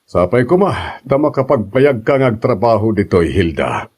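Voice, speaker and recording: A man's voice: lowish voice, sing-song, normal speed, a little mellow, slightly rough. A man playing a kindly, encouraging boss and landlord in an audio drama, with an Ilocano Filipino accent. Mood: welcoming. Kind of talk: radio-style drama acting.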